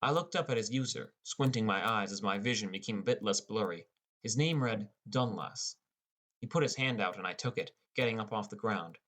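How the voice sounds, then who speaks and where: A man's voice: on the low side, fairly quick, fairly bright tone. A man narrating a scripted first-person story as an audiobook narrator.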